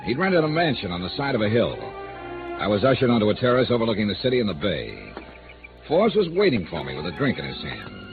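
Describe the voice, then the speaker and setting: A man acts out a world-weary American investigator from a 1950s radio drama. His delivery is wry and punchy, with big swings in pitch. The sound is thin, vintage radio with clear music underneath. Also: natural voice. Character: wry, world-weary male freelance insurance investigator, narrating his case. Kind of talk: 1950s radio detective drama acting and first-person narration. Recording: vintage radio, narrow band, music behind.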